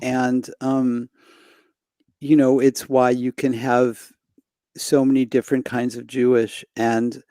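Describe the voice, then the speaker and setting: A middle-aged man from Los Angeles talks as a guest on a video call, using a headset and desk mic. His voice is mellow and dry, with no room sound. He speaks slowly, with frequent pauses and restarts. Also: mid-range voice, level tone, dry recording. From Los Angeles, United States. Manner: hesitant, many fillers and restarts. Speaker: singer guest. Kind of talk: reflective conversation. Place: home room indoors.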